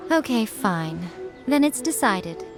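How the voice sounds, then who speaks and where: A woman playing a high-ranking shrine priestess in a fantasy game, sly, coy and aloof, with playful, unhurried condescension. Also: very animated. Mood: decisive. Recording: music behind the voice.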